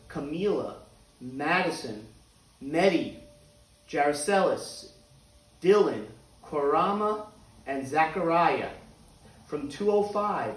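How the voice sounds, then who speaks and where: Slow-talking male teacher in a roomy space. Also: a little high, distant mic. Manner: deliberate, clearly spaced phrasing for children. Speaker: teacher reading aloud to students. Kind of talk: children's read-aloud and announcements to pupils.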